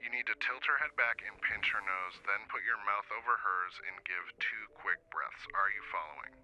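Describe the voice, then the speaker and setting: A man playing a calm, methodical emergency dispatcher in a radio drama, giving measured instructions over a phone speaker. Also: fairly low pitch, poor audio. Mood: calm, firm.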